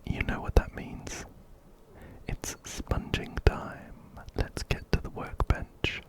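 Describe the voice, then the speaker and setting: A man whispering breathily and gently, very close to a binaural microphone, as he narrates a game and reads its text aloud. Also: takes it slowly. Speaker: ASMR video host. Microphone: close-up binaural microphone, ear to ear.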